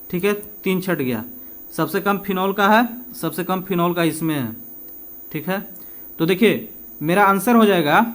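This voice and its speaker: A man giving an informal exam coaching lesson, talking conversationally, asking and answering his own questions and mixing in English. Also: high voice. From India. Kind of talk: informal lesson working through practice questions.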